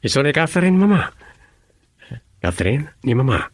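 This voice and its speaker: An actor playing a tender, earnest young suitor with a husky voice and an Ilocano Filipino accent in a radio drama. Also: male voice, on the high side, big pitch swings, a little slow, dry recording. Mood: eager. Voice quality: husky, creaky.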